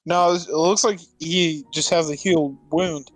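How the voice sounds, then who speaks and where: A man's voice: a little high, steady tone, a little slow, no echo, low-quality recording. A man playing a tabletop roleplaying game, chatting casually in and out of character.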